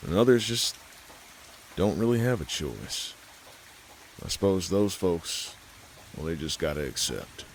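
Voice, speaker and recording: A man hosting and narrating a horror story, folksy and unhurried, who talks straight to the listener. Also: low voice, sing-song, slight background noise.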